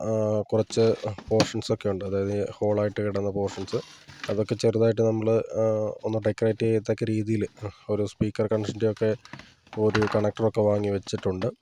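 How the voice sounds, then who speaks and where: A man's voice: fairly low pitch, dark tone. A man giving a casual hands-on tutorial, explaining plainly step by step as a hobbyist presenter.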